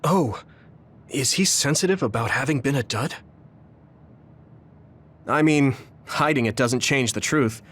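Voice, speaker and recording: An actor playing an earnest, modest young male rebel soldier in an anime game, speaking in a measured way. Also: mid-range voice, a bit bright, breathy edge. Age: young adult. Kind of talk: anime game cutscene dialogue. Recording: studio voice over game music.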